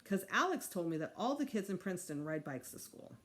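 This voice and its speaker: A woman teacher reading a children's book aloud in an expressive narrating style. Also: lowish voice, a little fast.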